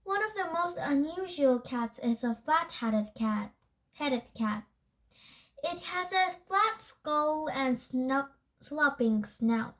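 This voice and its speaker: A child reading a nonfiction book aloud, haltingly, stumbling over and rereading words. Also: high-pitched, lively intonation, a bit bright, low-quality recording.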